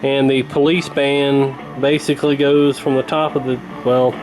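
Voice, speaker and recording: A man's voice: medium pitch, normal speed, over music, rough recording. An American man giving a casual hands-on commentary as a radio repair hobbyist, explaining as he goes. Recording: clear steady background noise. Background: old radio being tuned, room noise.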